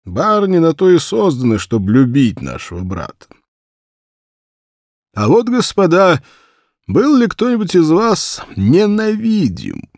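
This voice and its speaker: A man acts out a very fat hunter, a pompous storybook raconteur. He declaims with self-satisfied relish, swinging widely in pitch and often rising high, in a dry studio sound. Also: huge pitch swings, unhurried, long pauses, darkish tone, no echo, full character voice. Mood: pompous, provocative. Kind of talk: audiobook character storytelling.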